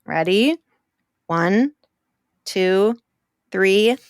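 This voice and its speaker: Bright-voiced woman hosting a kids' podcast. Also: medium pitch, animated, clean tone, no echo, clean studio sound. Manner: warm encouraging, slow and emphatic. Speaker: children's podcast host. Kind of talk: enthusiastic talk to children.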